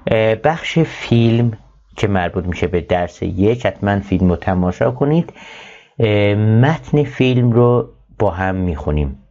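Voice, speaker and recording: A man, a native Persian speaker, teaching a German lesson, reading German words slowly and explaining them quickly in Persian. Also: on the low side, expressive, mellow. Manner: switches between slow German and fast Persian explanations. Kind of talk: bilingual language lesson, reading dialogues aloud. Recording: dull lo-fi recording.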